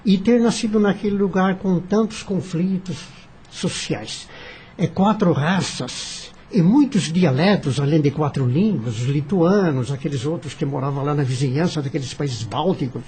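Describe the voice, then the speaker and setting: A man with a Brazilian accent and a husky voice, speaking earnestly and formally as he reflects in a discussion. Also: on the high side, moderate pace, a little mellow, slight background noise. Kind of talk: reflective discussion recounting a story.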